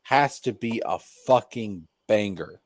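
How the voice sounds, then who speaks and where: A man's voice: lowish voice, lively intonation, slow, no echo. A man co-hosting a casual, sweary chat among friends. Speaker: co-host in a group chat.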